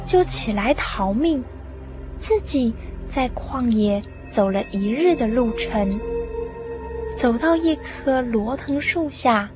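Lady narrating a radio play, slow and expressive, kinda high voice, music behind her. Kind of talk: scripted radio drama storytelling.

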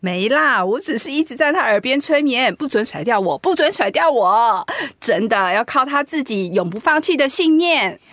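Radio drama wife: devoted, chirpy woman, high voice, encouraging, recorded dry. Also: expressive, normal speed, hardly any pauses, a bit bright, no room sound. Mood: modest, loving. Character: devoted, cheerful wife urging her husband on.

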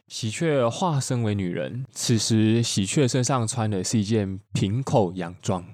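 A man's voice: fairly low pitch, animated, unhurried. A man co-hosting a podcast, improvising a story in a playful, theatrical manner and bantering. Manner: theatrical, bursts into shouted character lines.

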